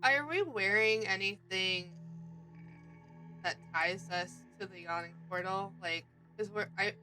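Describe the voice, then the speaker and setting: A woman chatting casually as a tabletop game player, inquisitive and halting as she works out what her character does. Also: medium pitch, over music, low-quality recording.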